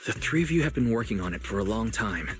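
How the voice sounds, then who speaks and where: Male actor as young manager in a game: lowish voice, calm, caring, measured, light music behind. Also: close to an everyday voice. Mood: uneasy, steady. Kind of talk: scripted video game voice acting.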